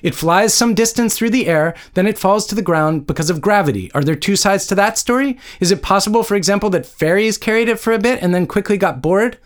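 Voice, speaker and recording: A man's voice: a little high, animated, normal speed, natural voice. A man voicing an indignant, combative woman scientist. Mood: furious. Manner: blunt, exasperated, emphatic.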